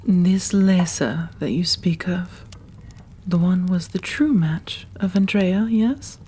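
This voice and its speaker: A woman playing a cold, imperious ancient female vampire elder in an ASMR roleplay, speaking formally and with measured command. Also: on the low side, mellow, breathy edge. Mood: scornful.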